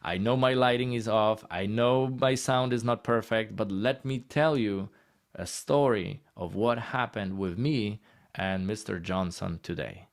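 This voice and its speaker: A man's voice: on the low side, measured pace, gravelly. A man with a Polish accent giving a casual, encouraging presentation in short conversational phrases. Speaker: guest speaker on healthcare marketing.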